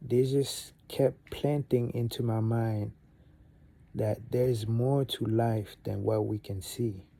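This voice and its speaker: A man's voice: mid-range voice, slow pace, gravelly, no echo. A young man from Gabon, a native French speaker, giving a casual motivational talk to camera, rambling with fillers and restarts. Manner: rambling, many fillers and restarts, animated on key points. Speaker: vlogger.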